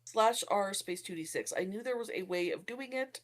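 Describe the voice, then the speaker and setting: A woman's voice: mid-range voice, expressive, normal speed, a crowd in the background. A woman chatting casually as a player in a tabletop roleplaying game.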